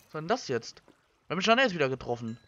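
A young man from Germany, giving casual Let's Play commentary and thinking out loud in colloquial language. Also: fairly high pitch, very animated, fast talker, a little hiss or hum. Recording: slightly dull, lo-fi microphone.

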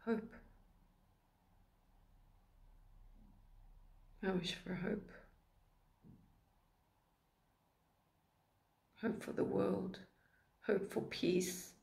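A woman performing a dramatic monologue as a wistful, lonely dreamer, speaking in a hushed, slow and halting way. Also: mid-range voice, fairly even intonation, vocal fry. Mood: hopeful, earnest.

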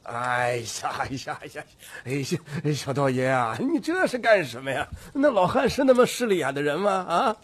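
Old troupe leader, audiobook, obsequious, flustered, stammering, very animated pitch. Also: male voice, moderate pace, breathy edge. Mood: placating, fawning. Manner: stammering, wheedling, full of sighing interjections.

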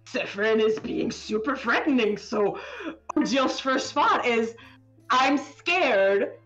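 A woman chatting casually as a game developer in an interview, animated, often interrupting herself and stammering over words. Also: on the high side, slow pace, dull audio, soft background music. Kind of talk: casual live stream chat.

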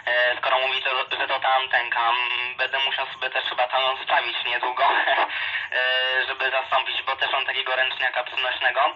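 A man's voice: medium pitch, monotone, normal speed, sharp tone. A man chatting casually and a bit hesitantly as an amateur radio operator, heard through a two-way radio. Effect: two-way radio transmission. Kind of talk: casual ham radio contact. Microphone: phone recording a handheld radio's speaker. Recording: dull radio speaker recorded by phone.

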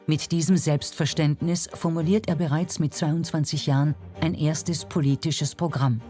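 A woman's voice: sits low, fairly even intonation, normal speed, dark tone. Woman narrating a documentary over music. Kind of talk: scripted narration.

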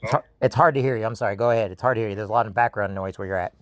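Man on a speakerphone, fast talk, dry close sound, taunting. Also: fairly low pitch, no room sound. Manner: taunting, mocking. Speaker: scam baiter. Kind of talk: prank phone call. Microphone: phone on speaker.

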